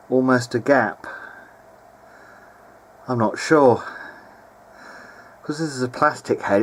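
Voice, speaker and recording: A man giving casual, unscripted commentary as a hobbyist at his workbench, hesitant and tentative as he thinks aloud. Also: medium pitch. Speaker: hobbyist video maker repairing vintage audio gear. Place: home workbench, speaking behind a handheld camera. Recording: clearly noisy amateur home recording.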